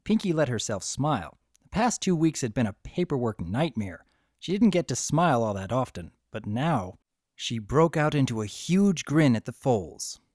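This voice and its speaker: Man narrating a story, highly animated delivery. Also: moderate pace, no room sound, clean studio sound. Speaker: narrator of a fan fiction story. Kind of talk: dramatic scripted storytelling.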